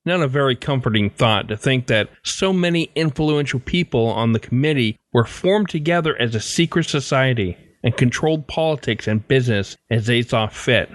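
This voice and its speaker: A man's voice: medium pitch, animated, normal speed, few pauses, no room sound. A man hosting a podcast, reading a scripted show outro. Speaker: podcast host. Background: faint music.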